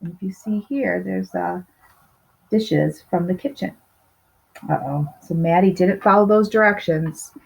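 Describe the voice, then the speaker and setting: A woman's voice: on the low side, unhurried, creaky, a little mellow, husky. A woman reading a children's storybook aloud expressively, with little asides to the listeners. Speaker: storybook reader. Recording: slightly dull, lo-fi sound.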